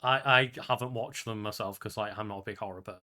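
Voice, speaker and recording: A man's voice: lowish voice, steady tone, fast, bright, forceful tone. A man co-hosting a podcast, talking informally and conversationally. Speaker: podcast co-host. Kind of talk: casual podcast chat.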